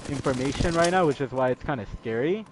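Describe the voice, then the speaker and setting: A man's voice: mid-range voice, animated, fast. A young man chatting casually on a stream, rambling with lots of fillers and restarts. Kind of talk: casual chat. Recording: game gunfire noise behind the voice. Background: video game gunfire and clicks.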